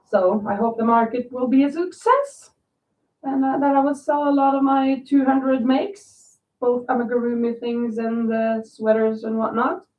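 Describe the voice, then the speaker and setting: A woman in her fifties chats loosely and rambles, her voice a little nasal and raspy from a lingering cold. She sits some way from the mic. The sound is thin like a phone line and echoes in the room. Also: a little high, steady tone, unhurried, far from the mic. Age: 50s. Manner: chatty, rambling, reads viewer comments aloud. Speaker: crochet livestream host. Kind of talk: casual livestream chat. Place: home.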